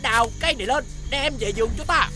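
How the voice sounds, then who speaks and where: A man voicing a haughty, greedy rich lord in an animated fairy tale, pompous and bossy. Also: very high-pitched, fast talker. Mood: gloating. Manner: pompous, commanding. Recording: loud music behind the voice.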